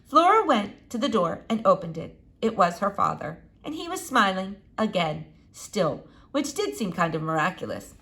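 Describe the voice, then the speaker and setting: A woman reading a children's novel aloud in an expressive storytelling style. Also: medium pitch, moderate pace, few pauses. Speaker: storybook reader. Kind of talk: expressive read-aloud narration.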